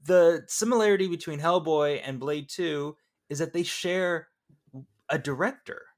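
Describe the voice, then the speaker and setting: A man's voice: a little high, a little slow. A man hosting a podcast, talking casually about films. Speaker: podcast host. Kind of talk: casual film discussion.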